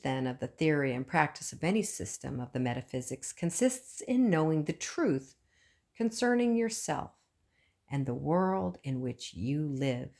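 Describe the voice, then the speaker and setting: A woman reading a book aloud as scripted narration, with measured phrasing and frequent pauses. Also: low voice, warm tone. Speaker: audiobook reader.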